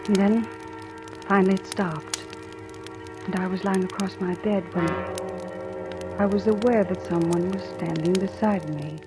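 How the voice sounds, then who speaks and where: An actress playing a timid, devoted young woman in a 1940s style radio drama, speaking softly and hesitantly. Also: mid-range voice, steady tone, a little slow, long pauses, close to an everyday voice. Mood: hushed narrating. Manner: hesitant, soft-spoken. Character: timid but devoted young woman, new household employee. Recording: old radio recording, music behind.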